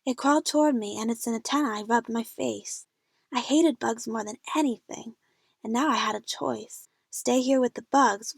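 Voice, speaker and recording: Woman performing a frightened young female narrator, high pitch, heavy vocal fry, dry recording, audio drama storytelling. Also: no room sound. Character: frightened young female King Kong fan narrating her jungle ordeal. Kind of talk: first-person scripted audio drama narration.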